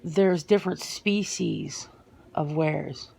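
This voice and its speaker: Woman doing a guarded female werewolf being interviewed, asmr roleplay, real slow n halting, gravelly fry. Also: deep voice, a little mellow, full character voice. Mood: matter-of-fact, reflective. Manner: hesitant, matter-of-fact, halting with pauses.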